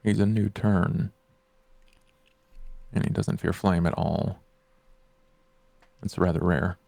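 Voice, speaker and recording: A man playing a grave, wary male vampire in a tabletop game, speaking in a deliberate, confiding way. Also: deep voice, fairly even intonation, gravelly, a little mellow, dry recording, heavily acted. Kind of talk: in-character tabletop roleplay.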